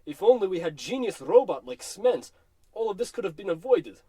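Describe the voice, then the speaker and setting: Guy as an old russian grandpa, soft mellow tone, slightly high, solemn storyteller, russian accent, radio play. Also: very animated, normal speed, husky, no room sound, exaggerated voice. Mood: grave, weary. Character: mournful, polite old Russian grandfather, Martian survivor. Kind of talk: old-time radio comedy acting.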